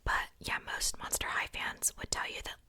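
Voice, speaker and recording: Whispered female voice, young adult, very sharp bright tone, casual ASMR host, informal rambling. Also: moderate pace.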